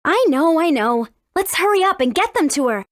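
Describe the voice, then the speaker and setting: High-voiced confident teen heroine. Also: female voice, sing-song, moderate pace, no echo, clean studio sound. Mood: eager. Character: confident, determined teenage heroine. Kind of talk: video game voice acting.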